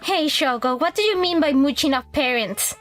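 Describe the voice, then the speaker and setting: The catty girl at the bully's side in an animated campus drama, high and scornful, acted by a woman, soft music behind her. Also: normal speed. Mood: mocking disbelief. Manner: scornful, exclaiming. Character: catty young woman joining in the mockery. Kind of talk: anime-style story dub acting.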